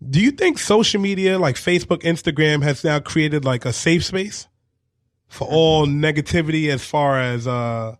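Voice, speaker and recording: Higher-voiced New man hosting radio. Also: normal speed, dry recording, clean studio sound. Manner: animated, slangy, repeats himself. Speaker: radio show host. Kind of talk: casual radio banter. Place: radio studio.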